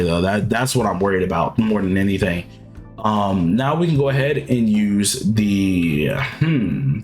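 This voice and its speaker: A man with a sick, hoarse-sounding voice, casually explaining his gameplay moves step by step. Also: on the low side. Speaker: video game commentator. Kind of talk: gameplay walkthrough commentary.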